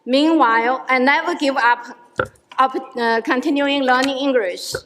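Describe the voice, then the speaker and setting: A middle-aged woman from China with a Chinese accent, a native Chinese speaker, giving a prepared speech at a rally in a halting, careful way with frequent restarts. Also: high voice, noisy background. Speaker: adult education student speaking at a rally. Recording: echoey hall with long reverb.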